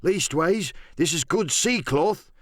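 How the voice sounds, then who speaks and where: Man playing a bold, high-voiced pirate. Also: lively intonation, slow, dry recording, studio quality. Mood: wary, probing. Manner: rough sailor dialect, theatrical. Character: bold, suspicious pirate seaman. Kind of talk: audiobook character acting.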